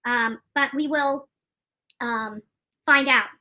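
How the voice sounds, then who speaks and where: High, bright-voiced woman giving emphatic commentary. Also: slow pace, lo-fi sound. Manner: emphatic, repeats phrases for stress. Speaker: streamer commenting on court news. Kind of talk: live opinionated legal commentary.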